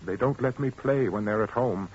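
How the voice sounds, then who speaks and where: An actor playing a smooth, sinister widower in 1940s radio drama, suave and insinuating. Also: male voice, medium pitch, normal speed, a little mellow, close to an everyday voice. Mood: brooding. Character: smooth sinister widower, middle-aged man. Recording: dull old radio recording with faint hiss.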